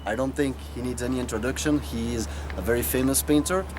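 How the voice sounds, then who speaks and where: A man hosting a casual documentary-style interview, upbeat and a bit halting. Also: mid-range voice, background noise. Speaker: host.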